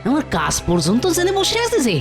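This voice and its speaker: A woman performs a poor but crafty cartoon blacksmith, a village man. His pitch swoops freely as he mutters and exclaims, over clearly audible music. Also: huge pitch swings, quick pace, heavily acted. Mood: wary muttering. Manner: rustic, exclamatory, self-muttering. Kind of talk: animated cartoon dub.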